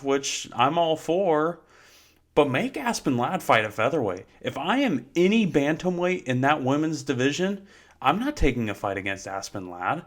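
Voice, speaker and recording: A young man hosting a solo sports talk show, a little hoarse, with many fillers, and his pitch climbs when he rants in exasperation. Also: a bit bright. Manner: many fillers, unhurried delivery. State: slightly hoarse from yelling. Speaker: host.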